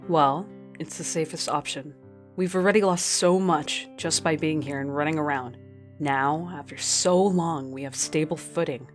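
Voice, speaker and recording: A woman's voice: deep voice, normal speed, faint music behind, lightly acted. A woman playing a stern, tired, cautious expedition captain in an audio drama, firm and curt.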